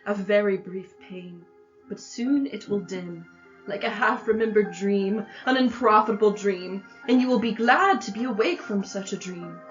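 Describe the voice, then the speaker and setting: Lady acting a sad gentle young woman in a radio play, medium pitch, earnest, soft music behind. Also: natural voice. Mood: sad, resolute. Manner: measured, earnest phrasing. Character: sorrowful, gentle, resolute young woman leaving her fiancé. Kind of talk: amateur radio drama acting. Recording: dull lo-fi audio.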